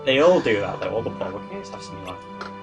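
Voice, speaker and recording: A man's voice: lowish voice, big pitch swings, very fast. A man playing and co-hosting a let's play, chatting casually and swearing. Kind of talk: casual gaming chat. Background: video game music.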